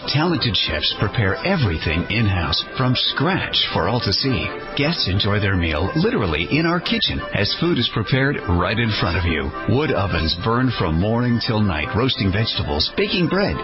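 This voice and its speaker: A man with a fairly low voice reads polished ad copy with an animated swing. The recording is slightly dull and lo-fi, with music clearly playing underneath. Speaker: announcer. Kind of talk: scripted radio ad narration. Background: background music.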